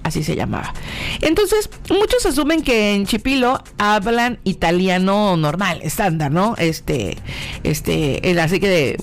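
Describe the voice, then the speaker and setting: A woman's voice: medium pitch, very animated, a little slow, a little hiss or hum. A woman with a Mexican accent hosting a radio show, talking informally and directly to the listener with rhetorical questions. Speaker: radio host. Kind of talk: chatty informal radio monologue addressed to the listener.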